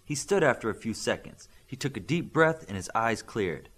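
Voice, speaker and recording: Animated man narrating an audiobook. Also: mid-range voice, moderate pace. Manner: animated reading that acts out dialogue. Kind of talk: scripted narration of a fiction story.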